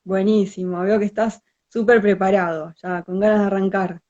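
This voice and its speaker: A young woman with a Rioplatense Argentine accent hosting a casual live-stream interview, informal and quick to laugh. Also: mid-range voice, fairly even intonation, darkish tone, dull audio. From Buenos Aires province, Argentina. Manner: friendly, laughs often, informal. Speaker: interviewer, host. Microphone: video call.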